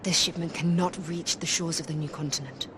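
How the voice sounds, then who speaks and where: A woman playing a composed, resolute rebel queen with an Indian accent in a video game, measured and authoritative. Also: low-pitched, fairly even intonation, background noise, natural voice. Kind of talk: dramatic video game acting. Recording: game audio with music behind.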